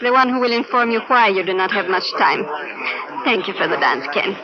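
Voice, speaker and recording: A woman playing a seductive, mercenary informant with a Spanish accent, speaking in a coy, measured way in 1950s radio drama. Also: medium pitch, animated, a crowd in the background, natural voice. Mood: coy, calculating. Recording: muffled old radio broadcast with loud music.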